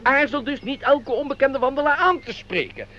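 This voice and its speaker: Pompous, very high-voiced jolly organiser. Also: male voice, expressive, normal speed, a loud crowd. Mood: pompous, teasing. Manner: pompous, formal announcer with chuckles. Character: fussy, jolly male outing organiser, Meneer Gans. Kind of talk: Dutch radio play acting. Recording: dull radio play recording, busy group behind.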